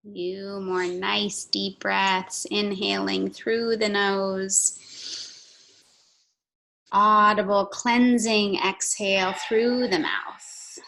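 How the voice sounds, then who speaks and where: Slow-paced woman guiding yoga, creaky voice. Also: mid-range voice, little pitch movement, bright, forceful tone, poor audio. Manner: soothing, measured cueing with audible breaths. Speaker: yoga instructor. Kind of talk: guided yoga and breathing instruction.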